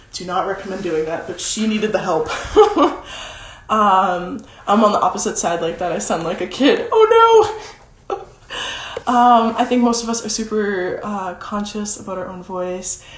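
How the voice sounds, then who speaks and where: Young woman, deeper voice, casual coaching chat, filler-heavy, echoey room. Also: animated, a little slow. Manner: chatty, many "like" fillers. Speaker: social media content coach. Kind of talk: casual livestream talk. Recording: roomy echo.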